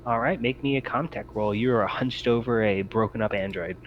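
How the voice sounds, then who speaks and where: A man narrating a tabletop roleplaying game as game master, halting often mid-sentence. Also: medium pitch, muffled recording, a little hiss or hum.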